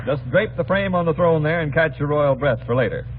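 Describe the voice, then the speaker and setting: A man's voice: on the high side, lively intonation, fairly quick. Wry male host on scratchy old radio. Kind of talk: scripted old-time radio comedy banter. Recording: scratchy old radio recording, tinny, narrowband.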